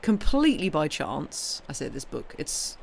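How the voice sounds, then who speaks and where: A woman's voice: sits low, sing-song, measured pace, creaky, darkish tone. British female streamer, informal and playful, meandering self-interrupting chat, slight background noise. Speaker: livestream host and reader. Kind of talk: casual livestream chat.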